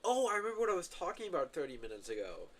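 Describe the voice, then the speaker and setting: A man's voice: on the high side, huge pitch swings, racing, a bit bright. A man streaming a video game, giving casual, rambling commentary full of filler words and self-corrections. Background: game music.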